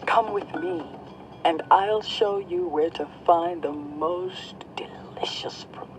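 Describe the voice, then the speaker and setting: A woman voicing a tender, reassuring mother bat in a children's storybook, expressive and often breathy. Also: lowish voice, takes it slowly, over music, low-quality recording.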